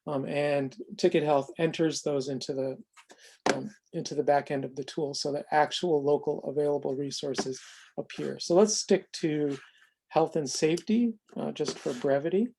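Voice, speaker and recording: An American man presenting an unscripted explanatory demo of a software tool, speaking in a measured, halting way with frequent pauses. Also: fairly high pitch, fairly even intonation, creaky, slight background noise.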